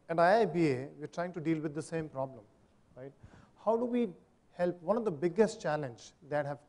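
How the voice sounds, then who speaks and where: A man in his fifties moderating a business panel, with a slightly high but mellow voice. He sums up the discussion point by point, often checking in with "right?", in a large hotel hall. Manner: structured, summarizing, frequent "right?" checks. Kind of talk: conference panel summary.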